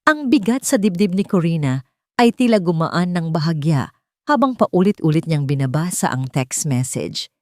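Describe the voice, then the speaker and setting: A woman storyteller delivers scripted melodrama narration. Her voice sits a little low, and the recording is very dry, with no room sound. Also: lively intonation, normal speed, dry recording.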